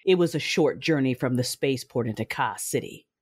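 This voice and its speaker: A woman playing a cold, vengeful dark ruler with a husky voice, narrating a space fantasy audio drama. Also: very deep voice, a little fast, no room sound, studio quality. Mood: cold, brooding. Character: cold vengeful Sith ruler, seething and menacing.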